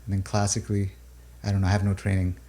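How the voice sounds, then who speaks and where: A man from Pakistan and the UAE, native Urdu speaker, talking thoughtfully about his music in a halting, filler-filled way. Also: low voice, monotone, dark tone. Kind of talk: reflective interview talk.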